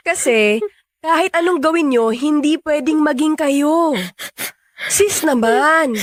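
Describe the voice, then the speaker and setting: A woman's voice: high-pitched, takes it slowly, no room sound. A woman playing a blunt, exasperated young friend in a Tagalog radio drama, scolding with sassy emphasis. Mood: sarcastic, chiding.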